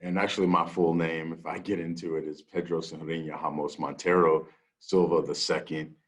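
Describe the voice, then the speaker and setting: A man speaking as a minister, reflective and deliberate, with halting phrasing. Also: a bass voice, flat delivery. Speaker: minister, guest. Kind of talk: reflective talk and spoken prayer.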